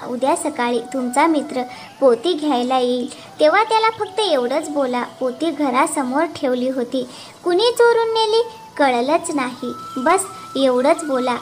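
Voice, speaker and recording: An actor voicing a farmer's wife in a moral-story cartoon, a bossy and practical village woman. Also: high voice.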